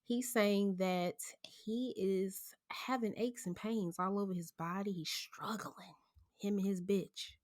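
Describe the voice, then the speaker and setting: A woman's voice: mid-range voice, fairly even intonation, slow pace. A woman co-hosting a podcast in casual chat, blunt and slangy, laughing often. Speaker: podcast co-host.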